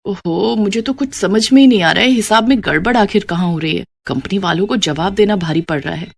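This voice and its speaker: A woman's voice: medium pitch, a little fast, vocal fry. A woman voicing a businesslike working mother and employer in a cartoon story. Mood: worried. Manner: businesslike, authoritative. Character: brisk working mother, demanding but fair employer. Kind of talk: acted dialogue in a Hindi cartoon story.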